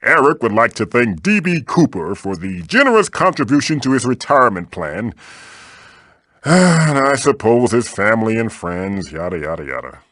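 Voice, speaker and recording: Theatrical, swooping magical mask spirit. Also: male voice, mid-range voice, big pitch swings, normal speed, a bit bright, no echo, clean studio sound. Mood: snide. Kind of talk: comic video game character voice acting.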